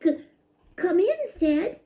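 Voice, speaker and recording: Woman doing a squeaky little boy mouse. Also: huge pitch swings, a little slow, roomy sound, full character voice. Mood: flustered. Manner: high squeaky voice, stammering. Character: young boy mouse, greedy then guilty. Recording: dull lo-fi recording.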